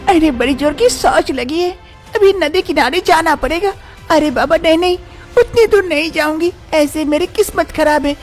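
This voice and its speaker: A woman's voice: high voice, normal speed, faint music behind, voice pushed up, close to an everyday voice. A woman voicing a timid, scheming young wife in a storybook tale, who frets and mutters to herself. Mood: fretful. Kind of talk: storybook voice acting.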